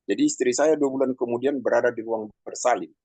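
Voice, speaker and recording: A man in his fifties giving a personal testimony in a halting, conversational way, with many pauses and fillers. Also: mid-range voice, steady tone, dark tone, dry recording. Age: 50s. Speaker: testimony speaker.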